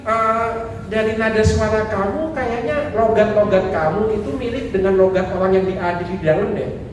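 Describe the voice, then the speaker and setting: A man, a priest, giving a lively sermon talk, acting out quoted voices and joking with the audience. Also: high voice, moderate pace, distant mic, background noise. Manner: animated, acts out quoted voices, jokes with audience. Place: church hall. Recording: echoey hall recorded from afar.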